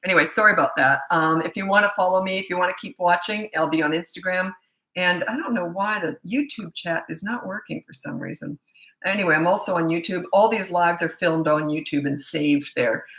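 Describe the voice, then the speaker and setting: A middle-aged woman from Canada, a parenting coach, answering viewer questions on a live stream in a direct, no-nonsense way, her voice going hoarse. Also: on the low side, bright, forceful tone. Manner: direct and no-nonsense, with frequent fillers and restarts. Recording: echoey room.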